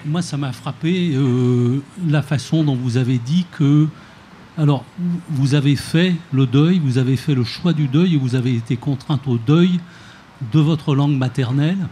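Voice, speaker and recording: A man in the audience asking a writer a measured, searching question, sometimes stumbling over his words. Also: mid-range voice, dark tone, slight background noise.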